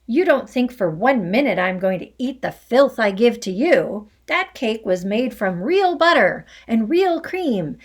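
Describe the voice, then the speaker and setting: A woman voicing a cruel, domineering headmistress who barks and booms insults. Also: big pitch swings, a little slow, few pauses. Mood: shouting furious. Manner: barking, booming insults. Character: bullying hulking headmistress, loud and cruel.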